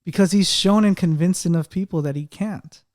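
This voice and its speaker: A man with a fairly high voice and a slight stutter, hosting a podcast in casual conversation. He talks animatedly, with frequent restarts, and slips into other people's voices to make his point. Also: normal speed, a little mellow. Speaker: podcast host. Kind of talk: casual chat.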